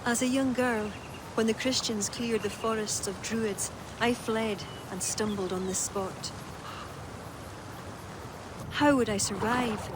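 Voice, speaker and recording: An actress playing an earnest former druid noblewoman who speaks in a soft, confiding, measured way. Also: medium pitch, natural voice. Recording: game cutscene with clear background noise.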